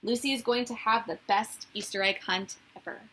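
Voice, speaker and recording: A woman reading a children's storybook aloud as a teacher would, with expressive narration. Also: fairly high pitch, normal speed, low-quality recording.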